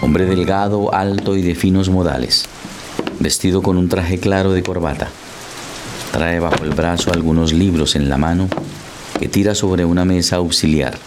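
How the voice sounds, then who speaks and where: A man's voice: sits low, steady tone, normal speed. A man narrating a radio drama as a scripted storyteller, describing the scene. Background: loud music.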